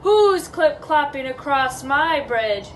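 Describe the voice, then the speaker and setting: A woman's voice: high voice, animated, takes it slowly, a little hiss or hum. A woman voicing a hideous, greedy male troll in a storybook, bullying and roaring. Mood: angry, menacing. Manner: roaring, yelling.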